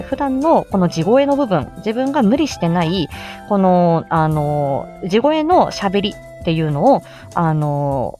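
A woman's voice: lowish voice, darkish tone. A woman with an androgynous voice explaining in a casual, chatty live-stream style, as an amateur voice actor giving tips. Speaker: amateur voice actor explaining how to make voice samples.

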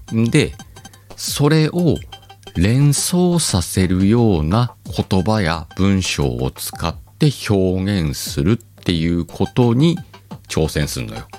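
Solo podcast host, male, low register, swooping delivery, self-mocking ramble over faint background music. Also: sing-song, takes it slowly, darkish tone. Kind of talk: casual solo chat.

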